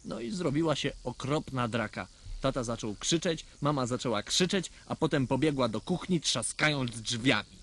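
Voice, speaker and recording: A man's voice: mid-range voice, expressive, normal speed, a bit bright. A man playing a naive, earnest little boy who tells his own story in a radio play. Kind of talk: scripted first-person storytelling in a radio play.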